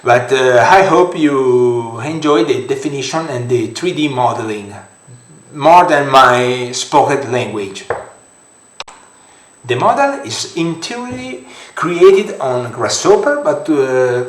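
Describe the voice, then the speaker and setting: Slow, halting man with Italian accent. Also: mid-range voice, lively intonation, roomy sound. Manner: halting, many fillers and restarts. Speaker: software tutorial creator. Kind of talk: screen-recorded software tutorial.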